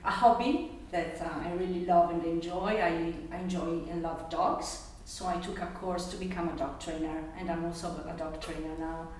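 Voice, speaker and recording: A woman's voice: fairly low pitch, steady tone, normal speed, few pauses, a little mellow. A middle-aged woman from Germany and Italy, a non-native English speaker, chatting casually. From born in Germany, raised in Italy. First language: not English. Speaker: conversation partner in English-learning video. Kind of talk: casual getting-to-know-you chat. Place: echoey room. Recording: very echoey room, distant mic, faint noise.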